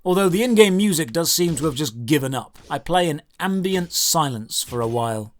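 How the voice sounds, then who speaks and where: High-pitched man, dry sarcastic game review. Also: animated, moderate pace. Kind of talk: scripted humorous game review narration. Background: game music under the voice.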